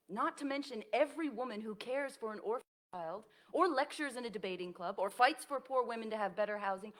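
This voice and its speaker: Actress as expressive, encouraging older professor. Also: female voice, fairly high pitch. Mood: warm, encouraging. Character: aging woman nursing professor, fond, encouraging, reassuring friend. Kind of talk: staged play reading.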